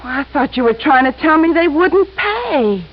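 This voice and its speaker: High-voiced, slangy gun-moll widow. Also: female voice, sing-song, slight background noise. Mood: surprised. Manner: plain, slangy, halting and defensive. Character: young working-class widow, defensive gun-moll type. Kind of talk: 1950s radio drama acting. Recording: muffled old radio broadcast.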